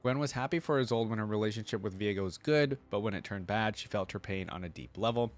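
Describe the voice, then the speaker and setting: A man hosting a reaction video, reading aloud and commenting informally as he thinks out loud for viewers. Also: lowish voice, fast.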